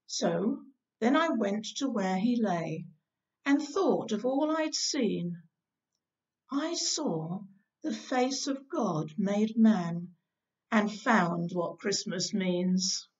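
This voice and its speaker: A woman's voice: medium pitch, lively intonation, drawn-out words. A woman reading a ballad poem aloud on a distant, dull recording. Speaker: church group leader reading aloud. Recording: distant, dull-sounding microphone.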